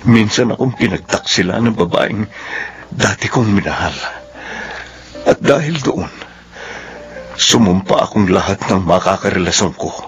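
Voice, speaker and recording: An actor playing a remorseful, heartbroken young lover in a radio drama, earnest and anguished. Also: male voice, fairly low pitch, unhurried, pauses often, faint music behind, close to an everyday voice. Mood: remorseful, pleading.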